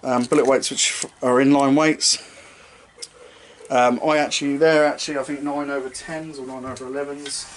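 A man's voice: medium pitch, little pitch movement, moderate pace, slight background noise, poor audio. A young man in his twenties walking through his fishing tackle to camera in a small room, casual and rambling, often correcting himself mid-sentence. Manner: rambling, self-correcting, offhand jokes about his knots and lighting. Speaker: fishing vlogger. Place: small indoor room with striped curtain. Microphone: camera mic at close range.

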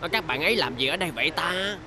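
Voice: medium pitch, animated, quick pace, a crowd in the background, lightly acted. An actor voicing a mischievous talking sports car in a dubbed children's cartoon. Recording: music behind the voice.

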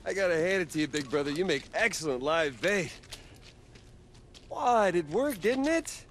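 A man acts out a young American rebel with superpowers in a video game. He is high and animated, wisecracking in slang with cocky sarcasm. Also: normal speed, a little hiss or hum, lightly acted. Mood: cocky, excited. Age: young adult. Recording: game music behind the voice.

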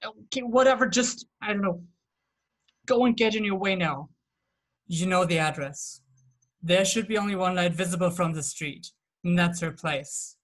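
A man's voice: high voice, normal speed, fairly bright tone. Young man acting a grumbling, impatient gangster boss. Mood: impatient exasperated. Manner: slangy, grumbling, exasperated. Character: gruff, impatient, old-fashioned male gangster boss.

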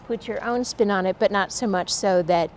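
An American woman of around forty chats as a guest in an interview. Her pitch is average, and faint room sound sits behind her lapel-miked voice. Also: moderate pace, a little hiss or hum. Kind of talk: conversational interview. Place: large decorated indoor hall. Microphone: lapel mic.

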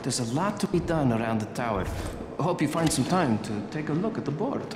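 An echoey room with music behind a man acting as a chatty video game survivor. He talks casually, with lively intonation. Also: medium pitch, brisk, close to an everyday voice. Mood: friendly.

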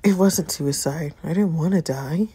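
A woman voicing a grieving young wife in first-person story narration, halting, hushed and anguished. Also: low-pitched, breathy edge, exaggerated voice. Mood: grief-stricken. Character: grieving young wife confessing pain and regret.

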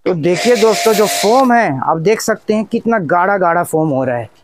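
Young male vlog host, high voice, step-by-step demo narration, noticeable background noise. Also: expressive, moderate pace. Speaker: tech review channel host. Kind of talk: product demonstration vlog narration.

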